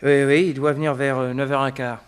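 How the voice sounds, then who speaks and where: A man's voice: medium pitch, little pitch movement, fairly quick, smooth, lightly acted. An actor playing a cheeky, curious young nephew who prods his uncle in familiar speech, in a 1960s French radio drama. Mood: complaining.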